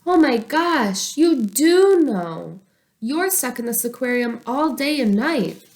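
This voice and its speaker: A woman's voice: fairly high pitch, big pitch swings, slow, a little mellow, pitched above the performer's own voice, full character voice. Woman as an earnest, dramatic storybook schoolboy. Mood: excited. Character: earnest, imaginative schoolboy, caring but easily exasperated.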